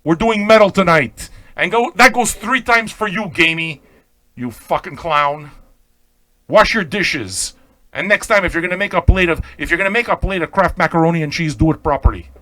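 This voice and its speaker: Brash higher-pitched man ranting on radio. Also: moderate pace, sharp tone, dry recording. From Montreal, Quebec. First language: Italian. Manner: brash, profane, combative; emphatic, repeats phrases and checks in with "okay?". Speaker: online pirate radio host. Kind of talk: off-the-cuff ranting monologue.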